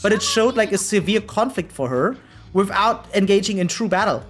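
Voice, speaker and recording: A woman's voice: medium pitch, animated, moderate pace, faint music behind. A woman doing casual livestream commentary as a game streamer, emphatic and opinionated, speaking informally with slang.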